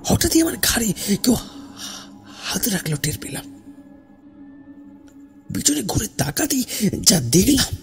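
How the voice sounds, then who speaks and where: A man narrating a first-person horror story in a theatrical, suspenseful way, with dramatic pauses and hushed phrase endings. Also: high-pitched, gravelly. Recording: voice over background music. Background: music.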